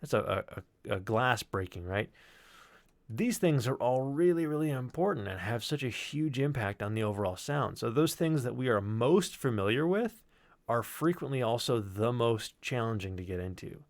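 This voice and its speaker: A man giving a casual technical walkthrough as a sound designer on a livestream, thinking aloud haltingly and swearing now and then. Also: mid-range voice, animated, dry recording. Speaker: sound designer presenting work.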